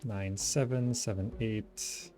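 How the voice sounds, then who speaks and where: A man's voice: on the low side, steady tone, slow, mellow, soft background music. A man giving casual gameplay commentary, thinking aloud and repeating himself as he works things out. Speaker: gamer, channel host.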